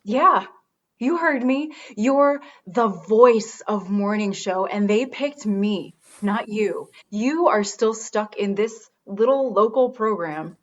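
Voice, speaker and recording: A woman's voice: mid-range voice, slow, close to an everyday voice. A woman playing a sarcastic, defensive radio-show boss in a naturalistic comedy drama, recorded in dull lo-fi sound. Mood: combative. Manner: sarcastic, confrontational.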